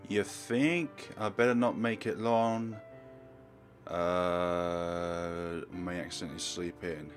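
A man hosting a let's play, reading game text aloud and commentating with wry, theatrical asides. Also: deep voice. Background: game music.

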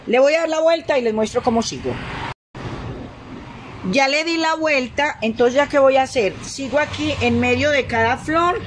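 A woman's voice: a little high, background noise. A middle-aged Colombian woman chatting casually through a craft demonstration, affectionate and repetitive, full of endearments and diminutives. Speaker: craft tutorial host.

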